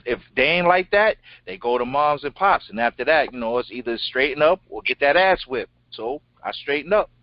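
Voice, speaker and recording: Deep-voiced man, blunt casual talk, swears, middle-aged, muffled recording. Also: no room sound. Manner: blunt, animated, swears freely. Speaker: co-host. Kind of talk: casual interview chat. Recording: dull lo-fi sound.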